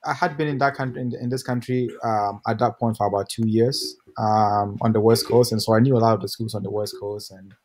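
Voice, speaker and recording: A young man from Ghana talks over a video call as a podcast guest. His voice sits fairly low and he tells his story in a relaxed, rambling way, restarting phrases as he goes. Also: brisk, few pauses. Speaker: guest, pro soccer player. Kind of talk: casual interview answers.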